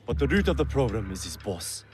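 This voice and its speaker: Game villager, male farmer, played by an actor: mid pitch, plain, earnest, aggrieved. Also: sing-song, brisk, noisy background, natural voice. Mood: grateful. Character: aggrieved but grateful village farmer, man. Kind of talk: video game cutscene acting.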